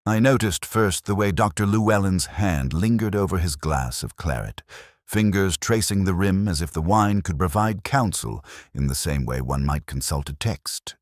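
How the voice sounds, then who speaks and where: A man with an extremely low voice narrates a literary mystery in the first person. His delivery is formal and contemplative, with a pitch that moves expressively through each phrase. The sound is dry and close, with almost no room to it. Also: animated, normal speed, no room sound. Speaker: narrator of a detective story.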